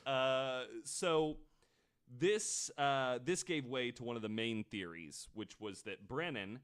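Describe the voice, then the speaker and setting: A man's voice: medium pitch, slow, a bit bright, clear, resonant tone. A man hosting a podcast, telling a true-crime story in a casual, conversational way with the odd swear word. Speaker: podcast host.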